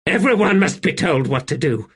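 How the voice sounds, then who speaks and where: A man voicing a young emperor in an audiobook, imperious yet fragile and weary, with a halting, strained delivery. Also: on the high side, lively intonation, breathy edge, pitched above the performer's own voice. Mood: anxious, imperious. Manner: halting, strained, swinging between command and fragility. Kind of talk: audiobook character acting.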